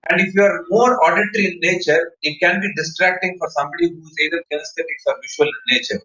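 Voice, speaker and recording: A man's voice: a little high, fast talker, sharp tone, distant mic. A man with an Indian accent giving an online talk as a public-speaking trainer, explaining emphatically with anecdotes and repeated phrases. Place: online session over video call. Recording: muffled, echoey online call recording.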